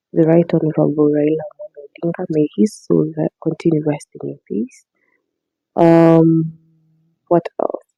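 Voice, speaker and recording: A young Kenyan woman hosting her diary podcast, talking casually in a raspy voice because she has just woken up, soft-spoken and rambling with frequent laughs. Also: low-pitched, no echo, highs cut off. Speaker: podcast host. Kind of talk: casual diary-style monologue.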